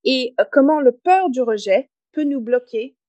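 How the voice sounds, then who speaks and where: A woman's voice: high voice, animated, moderate pace, warm tone, no room sound, lo-fi sound. English Canadian woman speaking French. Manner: pragmatic, direct, with hesitant fillers. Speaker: guest author. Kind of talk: podcast interview.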